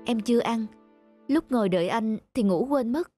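A woman voicing a gentle, devoted and patient wife in a cartoon, with a caring manner. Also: on the high side, lively intonation, normal speed, soft background music. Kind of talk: scripted cartoon dialogue.

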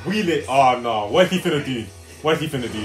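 A man's voice: medium pitch, expressive, quick pace. A young man giving slangy, exclamatory reaction commentary in a casual group setting. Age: young adult. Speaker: reaction video host. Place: small living room, indoors. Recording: roomy echo, show soundtrack playing behind.

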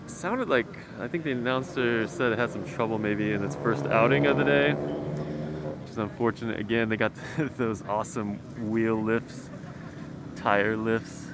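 A man's voice: on the low side, unhurried, vocal fry. A man giving casual, slangy and enthusiastic walk-around commentary as a car enthusiast, speaking outdoors. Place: outdoors in a circuit paddock. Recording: noisy outdoor car paddock ambience.

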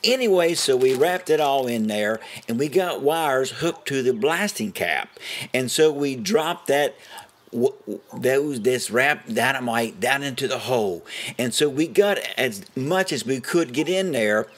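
A man's voice: medium pitch, expressive, measured pace. A middle-aged or older man with an Appalachian American accent, telling a story in a homespun way and prone to chuckling. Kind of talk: folksy first-person storytelling.

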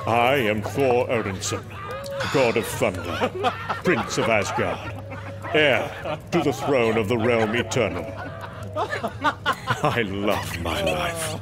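Grandiose thunder god for a comic drama, male actor; confident storyteller, unhurried, music underneath. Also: lowish voice, animated. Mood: boastful. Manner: grandiose, self-assured, storytelling. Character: boastful, hearty warrior god of thunder. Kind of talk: dramatized comic narration and acting.

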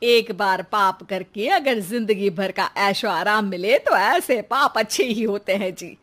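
A woman voicing a scolding, greedy middle-aged housewife in a cartoon, with an emphatic, sharp-tongued delivery. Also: mid-range voice, a little slow. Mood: greedy, defiant. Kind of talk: animated cartoon dialogue.

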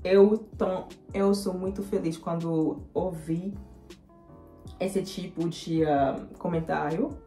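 A young woman in her twenties, a native French speaker with a French accent, chatting to camera with many fillers and pauses as she answers viewer comments. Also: lowish voice, fairly even intonation, mellow, roomy sound, soft background music. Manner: hesitant, many fillers, switches into English. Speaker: vlogger. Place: small indoor room.

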